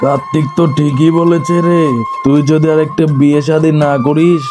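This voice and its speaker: A man's voice: fairly high pitch, flat delivery, normal speed, lightly acted. A man voicing a well-meaning elder village uncle in a children's cartoon, folksy and full of advice. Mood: persuading. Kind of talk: children's moral cartoon acting. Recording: music behind the voice.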